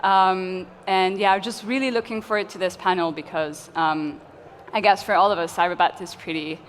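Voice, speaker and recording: A woman's voice: lowish voice, steady tone, moderate pace, slight background noise. Woman from Tunisia, panel curator. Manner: friendly, hesitant with fillers. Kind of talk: conference panel talk. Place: conference hall. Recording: long hall reverb, faint ambience.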